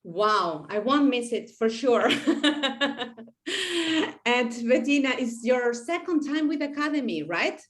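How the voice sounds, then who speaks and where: A woman's voice: on the high side, animated, slow pace. A woman interviewing a guest speaker, asking questions in an encouraging way.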